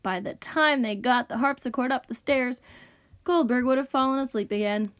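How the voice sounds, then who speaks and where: A woman reading a children's story aloud with expressive narration. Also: high-pitched, moderate pace, clean tone. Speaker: read-aloud reader.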